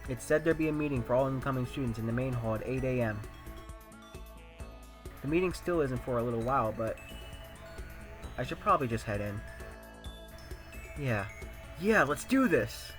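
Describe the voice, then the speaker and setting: An earnest, anxious high-school boy, acted by a man, thinks out loud in a mid-pitched voice. He narrates in the first person like a visual novel hero, questioning himself as he goes. Music plays clearly behind him. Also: close to an everyday voice. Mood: determined. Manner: self-questioning, musing aloud.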